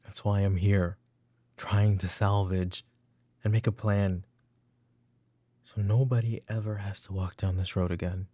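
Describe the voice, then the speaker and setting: A man playing a weary, brooding middle-aged mafia boss and father in a roleplay audio drama, speaking in a halting, intimate way. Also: level tone, warm tone, no echo. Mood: weary, agitated. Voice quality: gravelly, low. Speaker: voice actor.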